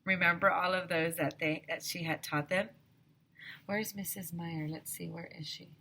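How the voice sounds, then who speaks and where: A woman teacher reading a children's story aloud expressively, with an animated, emphatic manner, addressing young listeners directly. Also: on the low side, normal speed, breathy edge.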